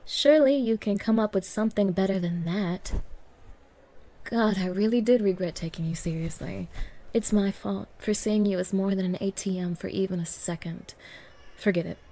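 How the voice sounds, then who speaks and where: A woman's voice: mid-range voice, normal speed, dark tone, close to an everyday voice. A woman playing a spoiled, contemptuous young gold digger in an ASMR roleplay, talking in a condescending, mocking, bossy way. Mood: scornful. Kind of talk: ASMR roleplay audio acting.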